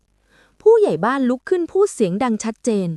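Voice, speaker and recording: A woman's voice: high-pitched, big pitch swings, fast talker, mellow, no echo, studio quality. A woman reading a scripted folk tale as a storyteller, with dramatic narration.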